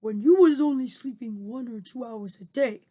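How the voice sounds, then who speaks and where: A woman voicing a big, gentle male giant who talks in slow, muddled grammar while reading a storybook aloud. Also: fairly high pitch, natural voice. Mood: explaining.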